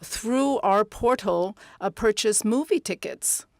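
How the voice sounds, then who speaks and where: A woman interpreting live at a conference, speaking in measured, segmented phrases with pauses. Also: medium pitch, no room sound. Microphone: close interpreter's microphone.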